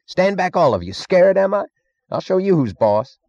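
A man's voice: fairly low pitch, very animated, fast talker, highs cut off. A man voicing a drunken, swaggering thug boss in an audiobook, belligerent and sneering with slangy bluster. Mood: snarling, bullying. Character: drunken swaggering thug boss, loud, defiant, secretly scared. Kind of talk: audiobook character acting.

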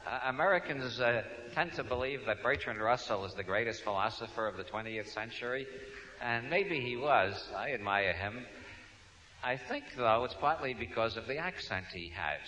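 New York man, sharp-toned, wry humorous speaker on a dull lo-fi tape, midrange pitch. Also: expressive, moderate pace. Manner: wry, digressive, frequent restarts. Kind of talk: humorous talk to an audience. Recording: dull lo-fi recording.

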